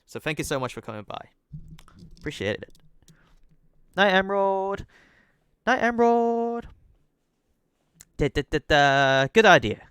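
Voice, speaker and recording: A man's voice: high voice, very animated, unhurried, long pauses, a bit bright, smooth, dry recording, clean studio sound. A young adult man streaming a game, chatting with viewers, thanking them by name and joking about himself. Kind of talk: live-stream gaming chat and reading aloud.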